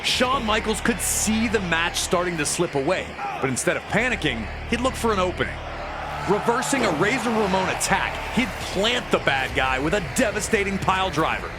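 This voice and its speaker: A man's voice: sits high, big pitch swings, moderate pace. A man delivering scripted, documentary-style sports narration in a dramatic storytelling manner, as a wrestling commentator. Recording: loud music behind the voice. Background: loud music.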